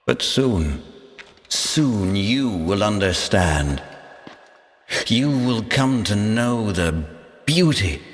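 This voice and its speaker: An actor playing a menacing Saracen warrior villain in a video game, persuasive and grandiose in an ominous way. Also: male voice, sits low, a bit bright, slightly rough, exaggerated voice. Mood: ominous persuasion. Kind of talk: dramatic video game voice acting.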